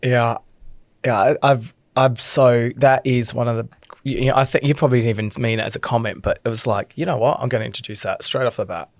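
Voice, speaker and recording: Male host, medium pitch, dry close mic, rambling, chatty. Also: steady tone, dry recording. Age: adult with young children. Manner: rambling, quick asides, acts out quotes. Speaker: podcast host. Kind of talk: podcast conversation.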